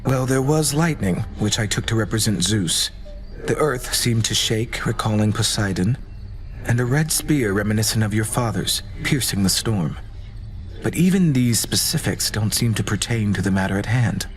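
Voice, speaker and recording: An actor playing a thoughtful seer in a game, speaking in a measured, reflective way. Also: male voice, fairly low pitch, expressive, natural voice. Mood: pensive. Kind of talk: scripted game acting.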